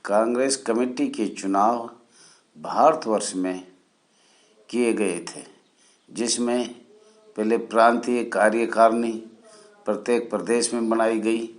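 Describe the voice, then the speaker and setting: A man from India hosting a channel, explaining history in a deliberate, halting way with short phrases and formal honorifics. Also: lowish voice, fairly even intonation. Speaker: channel host. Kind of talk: spoken history explainer for viewers.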